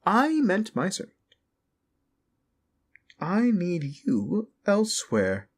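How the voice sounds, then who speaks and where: A man's voice: high voice, huge pitch swings, slow, pitched above the performer's own voice, heavily acted. A man voicing a polite, scheming lich necromancer in a fantasy audio roleplay, genteel yet commanding and wheedling. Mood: brisk, commanding.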